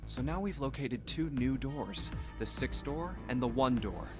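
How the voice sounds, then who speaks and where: A man's voice: mid-range voice, animated, measured pace, over music, lightly acted. An actor playing an earnest, concerned young man who leads his companions in a dramatic visual novel game. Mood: thoughtful.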